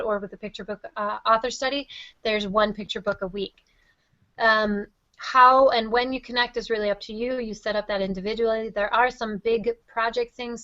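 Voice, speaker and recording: A woman speaks as an interview guest in an enthusiastic, effusive way. Her pitch is average for a woman. The audio is dry and a little dull, like a lo-fi online call. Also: a bit bright, no room sound. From Wisconsin, United States. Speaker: interview guest, teacher and reading project founder. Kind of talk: conversational interview answers.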